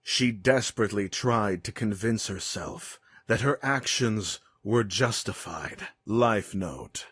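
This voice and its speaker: A man narrating a scripted dramatic story in a grave, deliberate, suspenseful manner. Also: on the low side, a bit bright, slightly rough, dry recording, clean studio sound.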